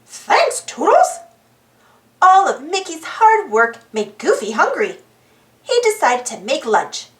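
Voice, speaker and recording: A woman reading a children's storybook aloud as narrator in an animated style. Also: high-pitched, measured pace, roomy sound.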